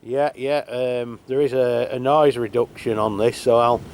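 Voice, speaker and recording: Relaxed man chatting on CB. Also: medium pitch, unhurried, a little hiss or hum. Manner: relaxed, hesitant, many fillers. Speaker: channel host testing CB radios. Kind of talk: casual radio chat. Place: outdoors on a rainy hilltop. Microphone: camera mic while holding a handheld radio.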